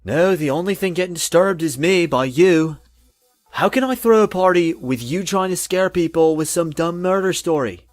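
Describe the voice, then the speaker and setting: A man's voice: on the high side, expressive, moderate pace, no echo, faint music behind, lightly acted. A man voicing an irritated, dim young party bro in a visual novel, slangy, whiny and dismissive. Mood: annoyed.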